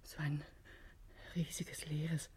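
An actress playing an anxious, frightened woman in a 1950s German radio play, hesitant and often hushed, on a noisy old recording. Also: low-pitched, monotone, slow, in a whisper, full character voice. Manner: hushed, breathy, hesitant pleading. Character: frightened, anxious wife in a lonely manor.

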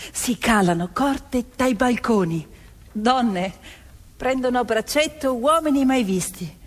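A woman playing a 1940s Italian partisan farm woman in a theatrical narrative monologue, telling the story deliberately, phrase by phrase. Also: mid-range voice, close to an everyday voice. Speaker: stage actor and storyteller. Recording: long hall reverb, music underneath.

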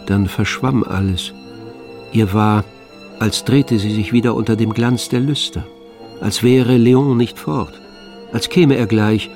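An actor playing the narrator of a literary radio drama, telling the story in a measured, sober, intimate way. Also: male voice, fairly low pitch, steady tone, a little mellow. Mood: measured, sensual. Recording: music behind the narration.

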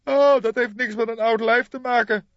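Man voicing an eager young detective, raised pitch. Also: steady tone, normal speed, fairly bright tone. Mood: concerned, groaning. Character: young male police detective, keen, doubtful, loyal sidekick. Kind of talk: audiobook character dialogue. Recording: dull lo-fi, boxy roomy sound.

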